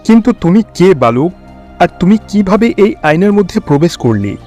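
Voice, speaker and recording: Man as an earnest king, slightly high voice. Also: sing-song, normal speed, darkish tone, faint music behind. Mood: grateful. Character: grateful, questioning adult male king. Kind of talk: Bengali fairy-tale cartoon voice acting.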